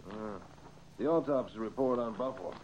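A man's voice: medium pitch, fairly quick, a little mellow, natural voice. An actor playing a concerned, fatherly older police inspector, American, in an old-time radio mystery. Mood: grave. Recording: muffled, hissy old radio recording.

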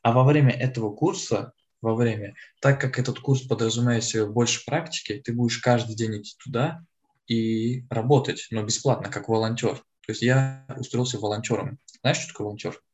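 A man's voice: mid-range voice, fairly even intonation, a little fast, darkish tone. An eighteen-year-old man, co-hosting a podcast in a casual, conversational style. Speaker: podcast co-host.

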